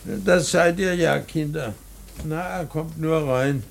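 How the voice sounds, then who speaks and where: An actor playing a grieving, weary old father in a German radio play, speaking in a halting, deliberate and gently stern way. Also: a little high, vocal fry, a little hiss or hum. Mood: kindly, composed.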